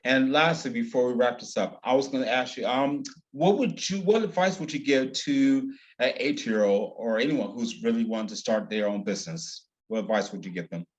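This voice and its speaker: A man's voice: medium pitch, normal speed, few pauses. Effusive male interviewer. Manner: effusive, repetitive questioning. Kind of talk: interview questions.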